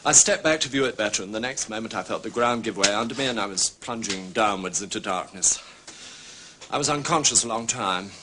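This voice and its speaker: An actor playing an articulate gentleman artist who speaks precisely and emphatically, with a testy, indignant temper. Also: lowish voice, fairly quick, background noise, close to an everyday voice. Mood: dramatic recounting.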